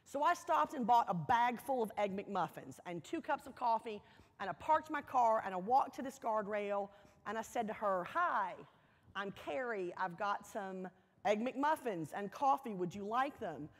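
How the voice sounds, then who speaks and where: A woman giving a motivational speech, telling a personal story deliberately, with dramatic pauses and emphatic repetition. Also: mid-range voice, animated. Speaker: keynote speaker. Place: large hall, speaking to an audience. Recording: echoey hall, long reverb.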